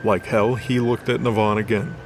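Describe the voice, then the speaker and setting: A man's voice: fairly low pitch, level tone, moderate pace, darkish tone, slight background noise, rough recording. A man narrating a scripted dramatic story as the storyteller of an audio drama. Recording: voice over background music.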